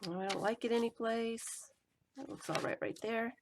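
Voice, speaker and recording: A woman crafting and talking to herself as she works, her voice going hoarse. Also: on the high side, normal speed, background noise. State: voice giving out, hoarse. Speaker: collage journaling vlogger. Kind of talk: casual running commentary while crafting.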